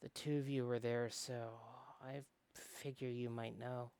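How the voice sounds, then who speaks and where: Earnest runaway rookie officer for a visual novel, read by a man; slow, hesitant delivery, smooth tone, dry sound. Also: medium pitch, flat delivery, darkish tone, no room sound, lightly acted. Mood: hesitant, probing. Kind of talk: amateur visual novel read-aloud acting.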